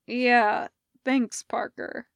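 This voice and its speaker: Woman voicing gruff, crabby man. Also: a little high, flat delivery, drawn-out words, no room sound, studio quality, exaggerated voice. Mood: awkward thanks. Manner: growling, curt, exasperated. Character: gruff, crabby but secretly soft adult man. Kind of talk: storybook character voice.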